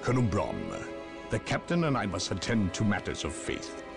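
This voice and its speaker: A man's voice: lowish voice, huge pitch swings, normal speed, gravelly, music in the background, heavily acted. An actor playing an arrogant male inquisitor, speaking with clipped, cutting, condescending politeness. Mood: cold. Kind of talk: video game cutscene acting.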